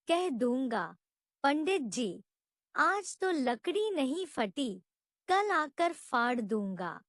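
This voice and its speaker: Woman as old male labourer, storybook character, timid, weary, hesitant, very slow, high voice. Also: no echo, studio quality, heavily acted.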